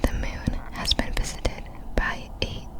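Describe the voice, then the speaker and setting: A woman whispering ASMR narration of facts in a breathy, fully unvoiced whisper, with careful, deliberate phrasing. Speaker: ASMR creator.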